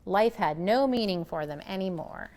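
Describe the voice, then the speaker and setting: A woman reading a children's picture book aloud in an expressive, deliberate storytelling style. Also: medium pitch. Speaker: storybook reader.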